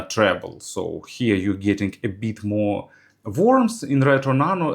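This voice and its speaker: Man, lowish pitch, highly animated intonation, non-native English phrasing, halting, hesitant, product reviewer commentary. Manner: halting, frequent hesitations mid-sentence. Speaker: audio gear reviewer.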